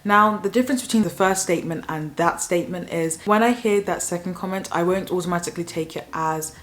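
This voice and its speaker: A woman's voice: on the low side, a little fast. A young woman from the south of England with a Southern English accent, giving frank, opinionated talk in a conversational vlog. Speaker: YouTuber.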